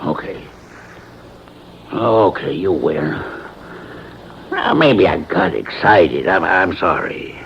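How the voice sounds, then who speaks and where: A cornered American swindler with a heavily gravelled voice and slangy, stammering bluster. His pitch lurches up and down as he argues his way through an old radio crime drama. The recording is muffled, with faint noise. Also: animated, slow pace, pauses often, fairly bright tone, exaggerated voice. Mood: rattled, wheedling. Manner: rough slangy speech, stammering when pressed. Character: crooked, blustering male swindler, cornered and shifty.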